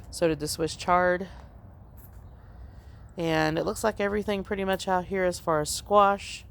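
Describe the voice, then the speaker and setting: Slightly congested woman touring her garden. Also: low voice. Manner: unhurried and plain-spoken, with frequent ums. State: slightly congested, recovering from illness. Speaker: home gardener hosting a garden channel. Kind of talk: casual walk-through garden update. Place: outdoor backyard garden.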